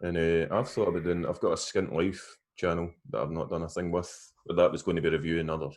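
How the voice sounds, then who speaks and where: Deep-voiced Scottish man chatting. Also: little pitch movement, fast talker, a little mellow. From Scotland. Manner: rambling, restarts often. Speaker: guest vape reviewer. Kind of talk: casual chat. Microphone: video call.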